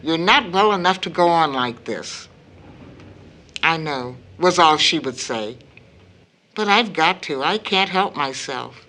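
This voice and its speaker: A gentle, grave mother in a radio play. Her voice is bright and swings widely in pitch. She speaks slowly and deliberately, with earnest dramatic weight and deep concern for her family. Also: expressive, a little hiss or hum, heavily acted. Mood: troubled, brooding. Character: worried middle-aged mother, gentle and grave. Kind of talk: audio drama acting.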